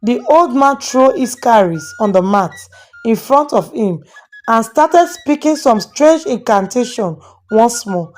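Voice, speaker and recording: A woman's voice: on the high side, animated, measured pace, darkish tone, soft background music. A woman storyteller hosting and dramatically narrating a moral tale. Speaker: storyteller and channel host.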